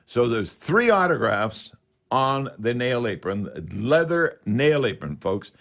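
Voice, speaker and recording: A man's voice: mid-range voice, sing-song, slow, a bit bright. A man hosting a casual live radio show, talking in a folksy, rambling way.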